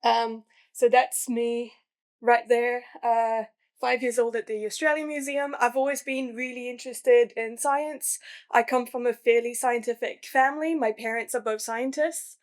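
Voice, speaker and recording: A woman's voice: on the high side, steady tone, measured pace. A young woman from New Caledonia, a museum science communicator chatting enthusiastically about her career, with frequent fillers. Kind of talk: casual career talk.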